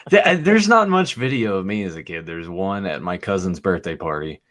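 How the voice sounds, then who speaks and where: Low-ish guy rambling thru childhood stories on a podcast, cusses a lot, laughs at himself. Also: lively intonation, a little slow, a bit bright. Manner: rambling storyteller, swears freely, laughs at himself. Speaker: podcast host. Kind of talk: casual chat.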